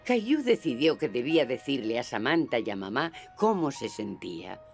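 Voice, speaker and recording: An actress narrating a children's cartoon as a gentle storyteller, with a Castilian Spanish accent and an expressive, simple way of explaining. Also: female voice, very deep voice, moderate pace, gravelly, heavily acted. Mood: gentle, concerned. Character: gentle storyteller narrating a small boy's day. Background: music.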